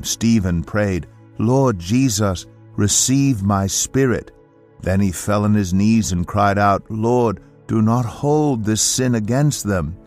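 Guy narrating the bible, really slow and solemn, dramatic reading, soft music behind. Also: lowish voice. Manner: solemn, deliberate. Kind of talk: scripted dramatic scripture reading. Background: faint music.

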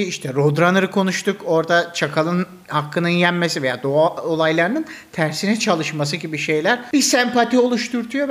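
A man chatting casually and jokingly as co-host of a podcast. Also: high-pitched. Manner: jokey, animated. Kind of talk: casual humorous podcast chat.

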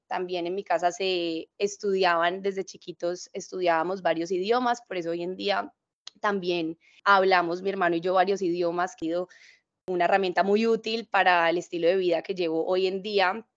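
A woman's voice: on the low side, steady tone, normal speed, muffled recording. A young woman from Cali, Colombia, with a blended Caleño and Paisa accent, chatting reflectively as a podcast guest. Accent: Colombian, mix of Cali and Paisa. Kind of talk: casual interview chat.

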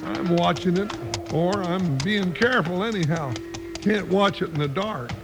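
An actor playing a big, timid, good-natured comic deputy in a radio western, folksy and hesitant, with an American Western drawl. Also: male voice, normal speed, over music. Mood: nervous. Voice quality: high, creaky voice. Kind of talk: 1950s radio western acting.

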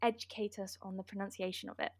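A woman's voice: medium pitch, fairly even intonation, fast, slightly rough, no room sound, studio quality. A woman hosting a casual book podcast, bubbly and giggly, with many ums. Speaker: podcast host.

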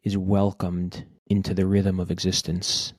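A man leading a guided meditation in a gentle, unhurried manner, speaking in short phrases with long pauses. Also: sits low, monotone, husky, no room sound, studio quality. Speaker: podcast host guiding a meditation.

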